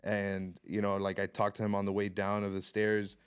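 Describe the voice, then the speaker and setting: A young man from the Miami area telling stories as a podcast guest in a relaxed, casual way, laughing often and mixing in Spanish. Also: on the low side, normal speed, smooth. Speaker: podcast guest, photographer and videographer. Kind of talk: casual chat.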